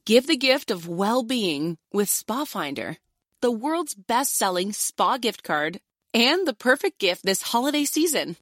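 A woman's voice: on the high side, sing-song, a little slow, fairly bright tone, no echo, studio quality. An American woman giving a scripted advertisement read as an announcer.

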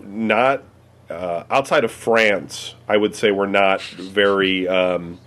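A man's voice: deep voice, slow pace, fairly bright tone. An American man answering questions in a casual chat, rambling with many fillers and swearing freely. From United States. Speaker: interviewee.

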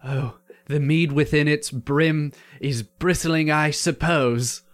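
A man acting out a tipsy squire, flowery archaic speech, hesitant fillers, higher voice. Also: steady tone, slow pace. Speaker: improv comedian.

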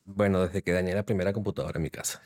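Venezuelan guy in his 30s, kinda low voice, talks through his work step by step on a podcast. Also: steady tone, very fast, a little mellow. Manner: explains at length, methodical. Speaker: guest, software developer. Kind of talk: conversational podcast interview. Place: podcast studio.

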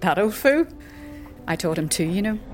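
A woman's voice: on the low side, expressive, normal speed, faint music behind, natural voice. A woman playing a sardonic older seer and teacher in a fantasy audio drama, dry, wry and gossipy. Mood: mocking, sardonic. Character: sardonic older female undead-philosophy tavern seer and teacher.

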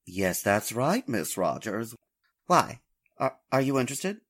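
An actor playing an urbane, smug gentleman stamp collector with a fussy, precise, affected way of talking, in the style of old-time radio drama. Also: mid-range voice, sing-song, unhurried, husky, exaggerated voice. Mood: genteel, eager.

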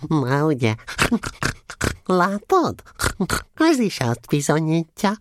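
A man's voice: on the high side, wildly swooping pitch, background noise. A man playing a small, timid piglet in a storybook audio drama, hesitant and earnest as he asks questions. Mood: curious. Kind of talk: Hungarian audio drama acting.

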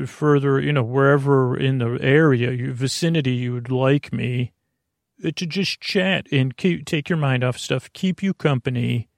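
A man hosting a sleep podcast in a casual, meandering monologue, halting and drifting off topic, with a gravelly creak in his voice. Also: medium pitch, dry recording, clean studio sound. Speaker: podcast host. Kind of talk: rambling casual monologue to help listeners fall asleep.

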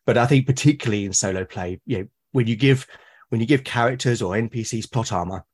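A man's voice: medium pitch, fast, a little mellow, breathy edge. A man from Britain, a podcast guest explaining his ideas with enthusiasm and emphasis. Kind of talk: conversational interview.

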